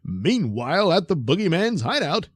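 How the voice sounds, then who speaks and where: A man's voice: on the high side, very animated, normal speed, slightly rough, dry recording. A man narrating a comic audio play in a mock-dramatic comic-book style. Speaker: narrator and creator. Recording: noisy home recording.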